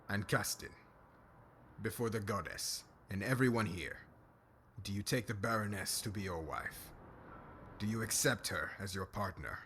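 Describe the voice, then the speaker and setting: A man's voice: fairly low pitch, bright, forceful tone, slight background noise. A man playing a solemn, commanding warrior king in an ASMR audio drama, with formal, authoritative phrasing. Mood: solemn, ceremonial.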